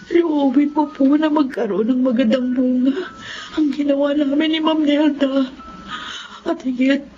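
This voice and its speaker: A woman acting an anguished, devout middle-aged mother with a Filipino accent, emotional and pleading, in a radio drama. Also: high voice. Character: anguished, devout mother searching for her lost son. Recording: dull lo-fi audio, faint noise.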